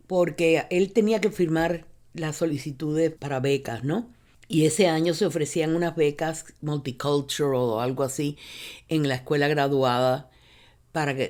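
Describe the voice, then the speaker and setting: An older woman from Cuba, telling her life story in an interview, often restarting words and slipping in English phrases. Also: sits low. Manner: animated when retelling, many restarts, mixes in English phrases. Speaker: interviewee, university professor. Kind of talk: reflective personal storytelling.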